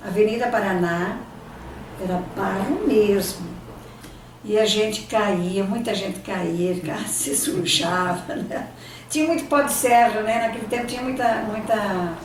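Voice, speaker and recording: An older Brazilian woman whose first language was Italian, reminiscing in an interview and often pausing to search for words and restarting her sentences. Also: medium pitch, slight background noise. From Brazil, São Paulo state. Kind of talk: oral history reminiscing. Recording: echoey room, distant mic, faint ambience.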